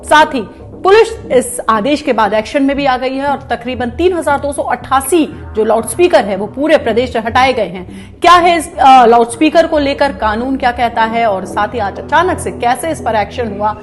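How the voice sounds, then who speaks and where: A woman's voice: high-pitched, animated, normal speed. A woman presenting explanatory news to viewers in an emphatic way, often circling back over her points. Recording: music bed under the voice. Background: background music.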